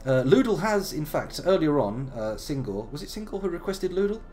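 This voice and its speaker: A man in his thirties, gravelly from a slight cold, chatting playfully through a live game stream. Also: fairly high pitch, very animated, brisk, a little mellow. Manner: chatty, playful, wandering asides. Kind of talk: casual live game commentary.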